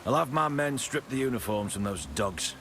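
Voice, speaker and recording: An actor playing a haughty, sardonic guard commander in a video game, deliberate and menacing. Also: male voice, mid-range voice, expressive, lightly acted. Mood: scornful. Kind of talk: video game character acting. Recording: clearly noisy, rainy ambience.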